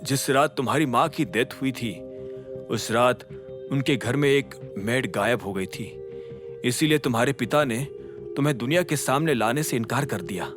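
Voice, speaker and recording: A man voicing a loyal older associate in an audio drama, serious, measured and confiding. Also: medium pitch, close to an everyday voice. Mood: grave, confiding. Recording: music under the voice.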